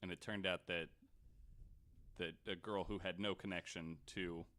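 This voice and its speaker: A man's voice: low voice, steady tone, measured pace, fairly bright tone. A man co-hosting a podcast, chatting casually and reacting in an informal, slangy way. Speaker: podcast co-host. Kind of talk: casual chat, reacting and interjecting.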